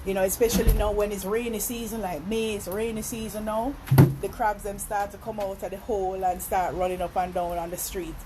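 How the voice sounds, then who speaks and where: A woman from Jamaica with a Jamaican accent, chatting casually on a phone live stream at a seafood restaurant and breaking off her stories to give viewers shout-outs. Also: mid-range voice, level tone, fairly quick, very noisy. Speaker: vlogger.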